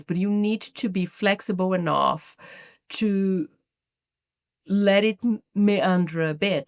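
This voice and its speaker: A middle-aged woman from Brazil with a Brazilian Portuguese accent, answering podcast interview questions in a thoughtful, measured way with frequent restarts. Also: medium pitch, dry recording, studio quality. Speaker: guest, marketing executive.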